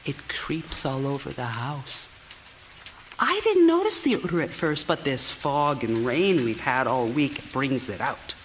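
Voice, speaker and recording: A low-voiced troubled wife, fixated and brooding, speaks slowly with wide swings of intonation. The style is live stage radio drama, with faint noise behind her. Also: full character voice. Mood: brooding, agitated. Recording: live theater recording with faint rain noise.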